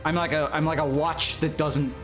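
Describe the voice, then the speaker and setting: A man's voice: fairly high pitch, steady tone, moderate pace, a bit bright, faint music behind. A man in his twenties chatting casually with viewers on a live stream, rambling and joking at his own expense. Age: 20s. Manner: rambling, joking, self-deprecating, laughs often.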